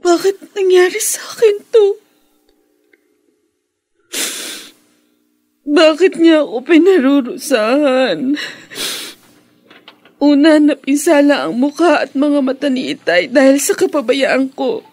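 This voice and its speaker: A woman's voice: high-pitched, a little slow, a little mellow. A woman acting a bitter, tearful daughter who feels betrayed, in a melodramatic radio drama. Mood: self-pitying. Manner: tearful, wailing delivery.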